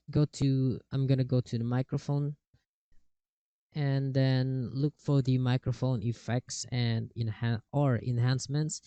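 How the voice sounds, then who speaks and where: A man narrating a casual step-by-step software tutorial, with somewhat halting phrasing. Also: mid-range voice, smooth, no room sound. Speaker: tutorial host. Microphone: cheap desktop microphone with software processing.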